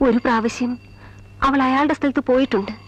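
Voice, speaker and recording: A woman's voice: high-pitched, steady tone, a little fast. A middle-aged actress playing a strict, anxious, wounded mother in a Malayalam film drama, speaking in a firm, emotionally weighted way. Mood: anguished. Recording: dull old film soundtrack with music.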